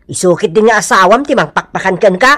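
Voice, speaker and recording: A woman's voice: medium pitch, lively intonation, fairly bright tone. A woman playing a nagging older village auntie in a radio comedy drama, scolding and insistent. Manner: scolding, insistent, drawn-out questioning.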